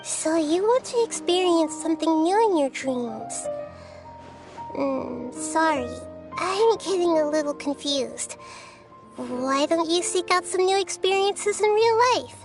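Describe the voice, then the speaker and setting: A woman voicing a gentle, wise young girl deity in a video game, speaking in a thoughtful, measured, childlike way. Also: extremely high pitch, animated, over music, exaggerated voice.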